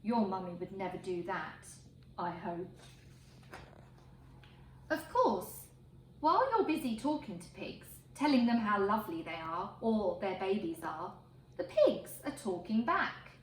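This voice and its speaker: A woman reading a picture book aloud to children in an expressive, emphatic way. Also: mid-range voice, a little slow. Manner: expressive, emphatic phrasing for young listeners. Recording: roomy echo, faint background noise.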